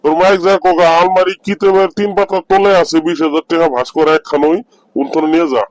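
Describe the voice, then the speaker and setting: A man's voice: high voice, fairly even intonation, quick pace, a little mellow, no echo, lightly acted. Man acting money-minded village man in a cartoon, dry sound. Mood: hesitant, yielding. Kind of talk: cartoon comedy dialogue.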